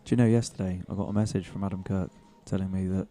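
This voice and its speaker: A deep, dark-toned man commentates pool in a relaxed, chatty way. His soothing voice stays fairly flat, with faint music underneath. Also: fast. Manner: relaxed, chatty and self-deprecating. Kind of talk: live stream commentary chat.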